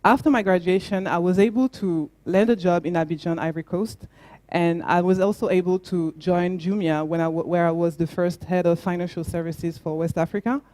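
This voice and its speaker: Mellow-voiced French woman founder speaking. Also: lowish voice, fairly even intonation, brisk, no room sound. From France. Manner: earnest, with frequent fillers and restarts. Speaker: startup founder. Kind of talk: conference talk.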